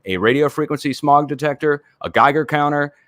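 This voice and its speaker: A man's voice: fairly high pitch, a little fast, a bit bright, no echo. A man in his forties, an earthquake livestreamer, rambling earnestly as he explains his plans on a casual video call. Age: 40s.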